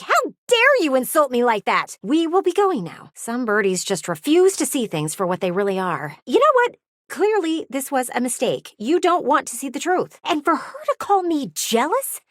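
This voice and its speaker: A vain, gossipy video game character, with a mid-pitched feminine voice that swoops dramatically from word to word. She is blunt and haughty, with a flirty streak and emphatic delivery. The sound is dry and close. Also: no room sound. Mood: indignant, offended.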